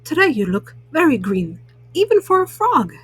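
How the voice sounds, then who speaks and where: A woman's voice: high voice, big pitch swings, normal speed, a bit bright, voice pushed up. A woman voicing a well-meaning, fretful male toad from a storybook, earnest and a little fussy. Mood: concerned.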